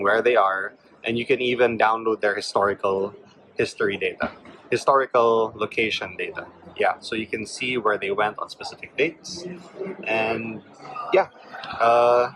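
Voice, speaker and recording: A man with a medium-pitched voice walks through a product demonstration. He speaks as an explanatory presenter. There is faint noise and room ambience behind him. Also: fairly bright tone, low-quality recording.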